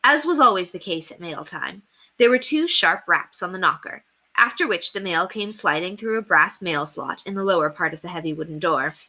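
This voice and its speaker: A woman reading a children's story aloud as a teacher would, with deliberate emphasis on key words. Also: lowish voice, animated, pushed and bright. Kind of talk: expressive storybook read-aloud narration.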